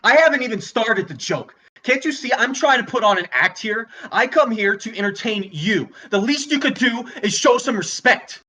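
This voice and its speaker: A man playing a volatile, failing stand-up comedian in a screenplay read, halting, stammering and exasperated. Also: sits high, lively intonation, bright, forceful tone, exaggerated voice. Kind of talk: dramatic screenplay table read, stand-up monologue.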